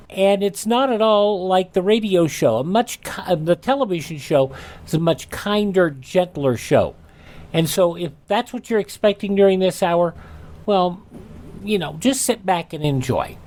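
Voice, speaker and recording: A man's voice: high voice, a little mellow. A man hosting a radio show in a casual, folksy, somewhat rambling and hesitant way. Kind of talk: casual radio hosting and personal product pitch.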